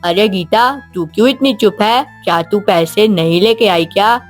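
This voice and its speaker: A woman voicing an excited, boastful young child in an animated story, bubbly and exclamatory. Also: medium pitch, normal speed, soft background music, close to an everyday voice. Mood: curious, boastful. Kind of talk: animated moral story dialogue.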